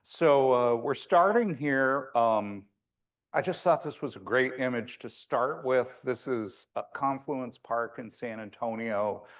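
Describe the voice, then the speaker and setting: A man with a big voice and a medium pitch presents to an audience in a conversational lecture style. His delivery is informal and a little wry, with frequent hesitations as he explains things. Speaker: landscape architect giving a presentation.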